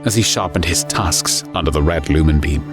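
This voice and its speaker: A man narrating a dark science fiction story in a scripted, dramatic, measured and ominous style. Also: very deep voice. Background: music.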